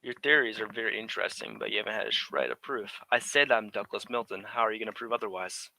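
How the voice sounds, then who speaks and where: Guy acting a cocky impostor villain, radio drama, lowish voice with a sharp bright edge, formal. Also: expressive, quick pace, vocal fry, dry recording, heavily acted, rough recording. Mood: defiant. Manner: defiant, formal. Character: brazen male impostor and deserter, smooth-talking villain.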